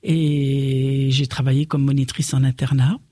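A woman in her sixties from Lorraine, a nun, recalling her calling in a gentle, hesitant way with frequent restarts. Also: extremely low pitch, little pitch movement, a little slow, no echo, studio quality. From Lorraine, eastern France. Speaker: nun, interviewee. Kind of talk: reflective personal testimony.

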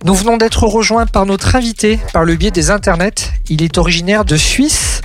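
A man's voice: high-pitched, moderate pace, faint music behind. A man hosting a radio interview, asking friendly, conversational questions. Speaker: radio host.

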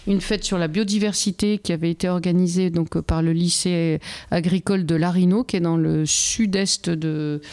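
A woman's voice: on the low side, fairly even intonation, normal speed, darkish tone, smooth. A middle-aged woman guest in a casual interview, speaking hesitantly with many fillers and restarts. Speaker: interview guest, Erasmus project member.

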